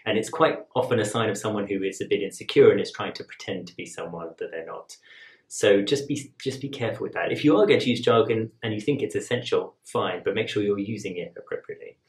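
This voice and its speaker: A man, an admissions tutor calmly explaining to camera in a measured, conversational way. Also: on the low side. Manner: measured, conversational, rhetorical asides. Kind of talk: explaining to camera, advice lesson. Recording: roomy echo.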